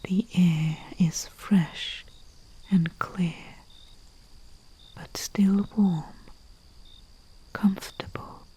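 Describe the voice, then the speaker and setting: A woman playing a humble, soothing herbalist in a soft-spoken ASMR roleplay, with a breathy whisper and a hushed manner. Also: on the low side, steady tone. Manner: slow, hushed, soothing, with long pauses. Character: humble female herbalist, soothing and deferential servant to nobility. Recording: faint hiss with chirping night crickets. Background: crickets and night insect ambience.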